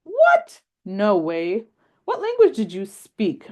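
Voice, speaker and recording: A woman voicing a curious, excited six-year-old girl in a read-aloud story, asking question after question. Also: slow, exaggerated voice. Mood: eager. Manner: high-pitched, eager questioning.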